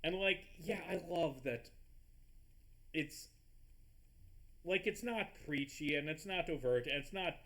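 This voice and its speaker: A man with a stuffy nose rambling excitably in casual commentary over a game recording, swearing often and tripping over his words. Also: fairly high pitch, takes it slowly, pauses often. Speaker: gaming video host.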